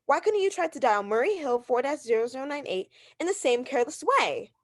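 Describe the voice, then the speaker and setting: A woman's voice: high-pitched, animated, a little fast. A woman acting a neurotic, demanding, haughty invalid wife in a radio mystery drama, agitated and insistent. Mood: exasperated, demanding.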